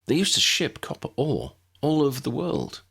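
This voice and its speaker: A man's voice: mid-range voice, wildly swooping pitch, moderate pace, gravelly, fairly bright tone, breathy edge, voice pushed up, full character voice. A man voicing an assured wizard professor in a fantasy story, confident and matter-of-fact. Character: assured male wizard professor leading the group.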